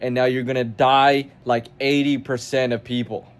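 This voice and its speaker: A man's voice: mid-range voice, level tone, moderate pace, smooth. Blunt, profane nineteen-year-old man. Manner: blunt, profane, confrontational, addressing the viewer directly. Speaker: self-improvement vlogger. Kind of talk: motivational rant to camera.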